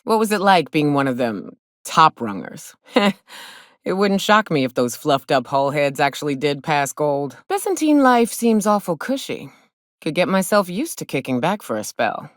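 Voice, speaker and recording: An actress playing a blunt, hard-drinking frontier monster hunter in a video game, with a wry, folksy way of talking. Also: female voice, fairly low pitch, no room sound, clean studio sound. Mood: wry, curious. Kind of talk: video game companion dialogue.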